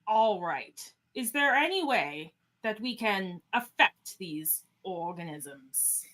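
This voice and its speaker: A woman playing a composed, commanding starship captain in a live radio-theatre script reading, deliberate and authoritative. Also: medium pitch, big pitch swings, sharp tone, heavily acted. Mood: measured, commanding.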